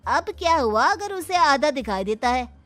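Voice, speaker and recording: A woman's voice: high-pitched, sing-song, moderate pace, fairly bright tone, soft background music. A woman voicing a sly, taunting middle-aged father in a cartoon story. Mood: smug. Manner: taunting, smug. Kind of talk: cartoon voice acting.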